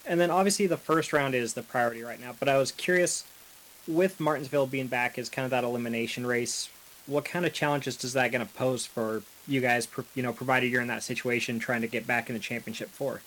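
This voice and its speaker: A male reporter asks a driver a polite, conversational question at a press conference. His voice sits at a middle pitch, with a faint hiss behind it. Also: fairly even intonation, a little fast, fairly bright tone, poor audio.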